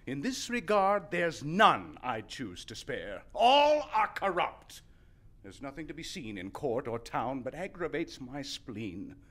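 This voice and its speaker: A man playing an indignant, gloomy misanthropic gentleman in a stage verse comedy, declaiming emphatically. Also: mid-range voice, wildly swooping pitch, slow pace, heavily acted. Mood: disgusted, exasperated. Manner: declamatory, emphatic, crisp rhyming delivery.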